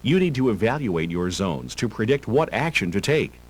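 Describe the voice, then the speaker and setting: A man reading scripted driver-education narration in a measured, instructional manner. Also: lowish voice, sing-song. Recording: hum and hiss of old tape.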